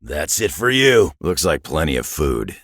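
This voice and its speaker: An actor playing a gruff, cocky, battle-hardened brawler in a video game, with a raspy growl and a dry, sardonic delivery. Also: male voice, extremely low pitch, very animated, measured pace, a bit bright, no echo, exaggerated voice. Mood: combative, taunting, then gruff, matter-of-fact.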